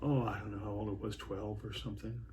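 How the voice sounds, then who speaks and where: A man in his sixties from Washington State, recalling his childhood in a rambling way with many pauses and restarts. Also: fairly low pitch, fairly even intonation, darkish tone, a little hiss or hum. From Washington State, USA. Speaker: interviewee, hiker. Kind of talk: casual reminiscing interview.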